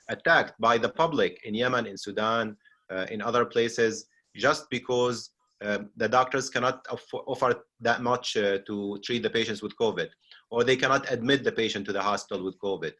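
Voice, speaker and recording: A middle-aged man with a Syrian Arabic accent, moderating a seminar as a physician and speaking off the cuff with frequent pauses. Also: medium pitch, sharp tone. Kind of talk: unscripted seminar discussion.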